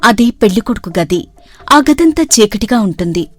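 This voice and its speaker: A woman giving steady, measured scripted narration for an animated moral story. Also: on the high side, soft background music.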